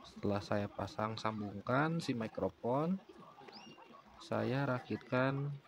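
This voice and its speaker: A man's voice: mid-range voice, takes it slowly, creaky. A man narrating a casual DIY tutorial in an explanatory way, often repeating phrases. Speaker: DIY vlogger.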